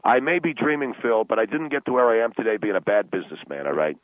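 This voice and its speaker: A man's voice: on the low side, fast talker, dry recording, natural voice. A man playing a brash, miserly middle-aged ad executive and father of the bride, blustering and argumentative on a radio call-in comedy show. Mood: indignant, blustering. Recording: band-limited tinny phone line.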